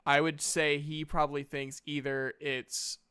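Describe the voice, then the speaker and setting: Guy running a dnd game, clear smooth voice, takes his time, casual. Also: fairly high pitch, flat delivery, a little slow, sharp tone. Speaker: game master and player in a tabletop roleplaying game. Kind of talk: casual tabletop game narration and banter.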